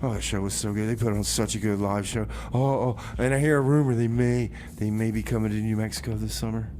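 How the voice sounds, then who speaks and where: A man hosting a music radio show, rambling casually in a folksy, halting way full of exclamations. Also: fairly low pitch, fairly even intonation, a little mellow, a little hiss or hum. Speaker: radio host.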